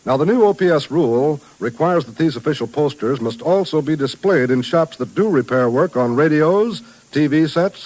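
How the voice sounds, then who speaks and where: A man's voice: on the high side, poor audio. An American man announcing on 1950s radio, reading a scripted message with formal, emphatic delivery. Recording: vintage 1950s radio recording.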